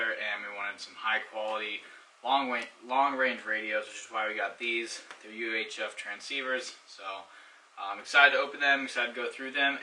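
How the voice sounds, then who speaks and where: A man's voice: fairly low pitch, pushed and bright. A man giving a casual unboxing review and hands-on demonstration, explaining things informally step by step. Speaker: product reviewer. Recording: distant mic, faint background noise.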